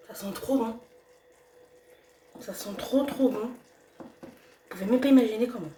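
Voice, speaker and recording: A young woman with a cold, talking in a voice on the higher side as she cooks at home. Her speech is hesitant and chatty, full of fillers and restarts. She sounds set back from the mic in an echoey kitchen. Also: a little slow, warm tone, far from the mic, slight background noise. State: has a cold. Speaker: cooking vlogger. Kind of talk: casual cooking video. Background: sizzling pan and stirring.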